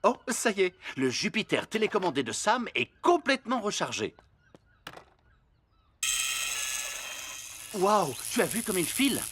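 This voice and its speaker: An actor playing an overconfident, enthusiastic dad in a children's cartoon, with a husky voice. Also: male voice, high-pitched, sing-song, a little fast. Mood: excited. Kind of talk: animated children's cartoon dialogue.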